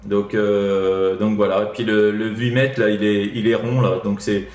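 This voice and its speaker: A man's voice: fairly low pitch, level tone, measured pace, darkish tone, reverberant. A man chatting casually as a radio operator, hesitant and repetitive, often tagging his phrases with small filler words.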